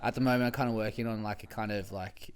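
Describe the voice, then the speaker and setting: A man in his thirties, chatting casually as a podcast guest, full of filler words and quick laughs. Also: on the low side, steady tone. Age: 30s. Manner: laid-back, lots of filler likes, laughs often. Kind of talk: casual chat.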